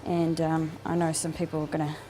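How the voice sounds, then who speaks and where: A woman's voice: low-pitched, monotone, a little fast. A woman with a New Zealand accent, chatting casually as she films her home fish room, rambling with plenty of ums and restarts. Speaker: fishkeeping vlogger. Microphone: handheld camera.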